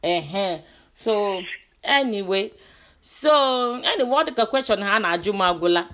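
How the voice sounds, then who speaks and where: A woman's voice: mid-range voice, expressive, moderate pace, fairly bright tone. A woman chatting casually on a call, switching between two languages. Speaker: daughter interviewing her mother by phone. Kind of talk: casual phone chat mixing in English.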